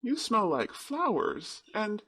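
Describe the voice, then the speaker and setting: A man voicing a sickly boy who is excited and delighted. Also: moderate pace, slightly rough, full character voice. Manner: high, excited, wondering.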